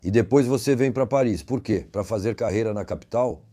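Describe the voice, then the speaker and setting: Male interview host. Low, dark-toned voice, inquisitive, conversational, quick to interject.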